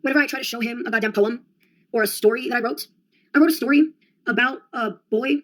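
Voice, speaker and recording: A woman's voice: a little high, fast. Sardonic young woman rambling alone. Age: young adult. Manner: sardonic, profane, jumps between topics. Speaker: vlogger. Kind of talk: rambling solo monologue.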